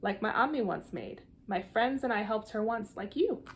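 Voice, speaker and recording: A woman voicing a patient, kindly father in a storybook, with a deliberate and reassuring delivery. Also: medium pitch, lively intonation, slight background noise, close to an everyday voice. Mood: pleased, inviting.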